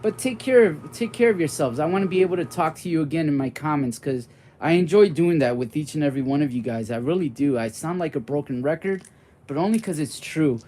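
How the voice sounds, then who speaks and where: A man's voice: fairly high pitch, animated, fairly quick, slight background noise. Young-sounding man chatting to viewers. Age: 40s. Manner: rambling, goes off on tangents; warm and friendly toward viewers. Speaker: board game streamer. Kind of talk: casual live-stream chat.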